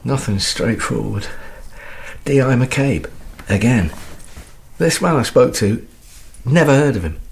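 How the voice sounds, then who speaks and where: A man acting a drunk, anxious husband in a radio thriller, slurred and gravelly, rambling through halting questions and suspicions. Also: mid-range voice, animated, a little hiss or hum. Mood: confused, agitated. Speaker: actor in an audio drama.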